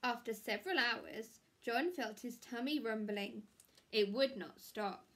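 A woman's voice: on the high side, unhurried, fairly bright tone. A woman in her thirties reading a children's picture book expressively, like a primary school teacher, in a small room at home.

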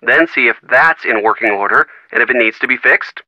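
A man's voice: mid-range voice, a little fast, pushed and bright, dry recording, rough recording. An actor voicing a helpful, wry young man giving hints in a video game.

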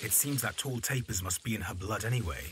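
A man's voice: on the low side, fast, noisy background, natural voice. A man playing a courteous, reserved young musician and fond brother in a period drama. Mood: fond, informative. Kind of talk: period radio drama acting.

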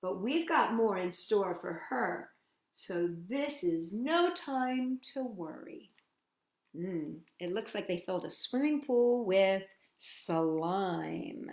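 A woman's voice: mid-range voice, expressive, takes it slowly, darkish tone, echoey room. A woman hosting storytime for young children, reading a picture book expressively and addressing the children directly with questions. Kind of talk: picture-book read-aloud for young children with asides and sing-song greetings.

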